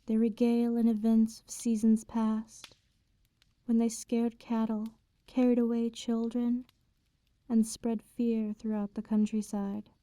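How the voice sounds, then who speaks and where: A woman performs a highborn female vampire in an intimate ASMR roleplay. Her voice is fairly high yet very dark and soft, moving at a languid, slow pace. She sounds condescendingly tender and possessive, with teasing menace under the hush. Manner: slow, hushed, languid, veiled threats delivered sweetly.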